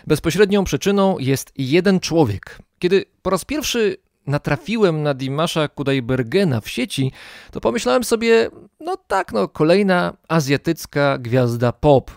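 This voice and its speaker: A man's voice: a little high, no room sound, clean studio sound. A man narrating and introducing as a podcast host in a scripted style.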